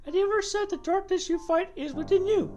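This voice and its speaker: A woman's voice: extremely high pitch, fast, darkish tone, a little hiss or hum, voice pushed up. A woman voicing a fervent, dreamy godlike being in a dramatic reading of game dialogue, with emphatic delivery. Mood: tender, emphatic.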